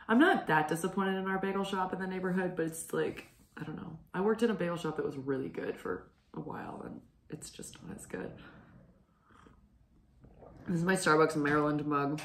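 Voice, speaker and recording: A hoarse young woman hosts a knitting show from home, rambling through her chatty stories and clearing her throat. Her low voice has a gravelly edge. The room around her sounds echoey. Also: a little hiss or hum. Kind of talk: casual chat.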